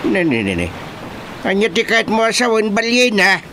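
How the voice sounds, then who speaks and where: A man's voice: sits high, very animated, normal speed. Jumpy old country dad fisherman, stammers a lot, ilocano accent, radio comedy, noisy sea behind. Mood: startled. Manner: stammering, exclaiming when startled. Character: Tatang, jumpy older rural fisherman father. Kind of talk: radio comedy-drama acting.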